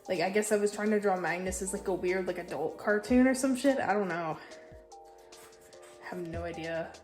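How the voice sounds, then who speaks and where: A young woman with a congested, stuffy voice, casually touring her sketchbook in a tired, low-key way and swearing now and then. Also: normal speed, a little mellow, faint music behind. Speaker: artist showing a sketchbook.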